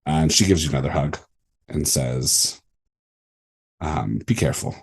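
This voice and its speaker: A man narrating as game master of a tabletop role-playing game, in a relaxed, hesitant way with fillers. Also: very deep voice, unhurried, gravelly, breathy edge.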